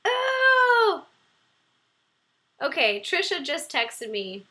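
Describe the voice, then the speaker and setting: A woman's voice: extremely high pitch, wildly swooping pitch, very slow, pushed and bright. A young woman hosting a casual livestream from home. She is bubbly, laughs often and hums to herself while reading viewer questions. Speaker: livestream host. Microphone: computer webcam mic.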